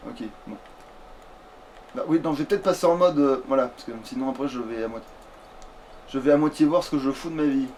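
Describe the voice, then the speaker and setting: A man's voice: mid-range voice, brisk, long pauses, a little mellow, a little hiss or hum, poor audio. A man giving casual let's play commentary, hesitating, muttering to himself and swearing casually.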